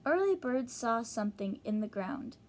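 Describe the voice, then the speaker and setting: A woman teacher reading a children's storybook aloud, pausing to explain and ask young listeners questions. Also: fairly high pitch, very animated, a little hiss or hum.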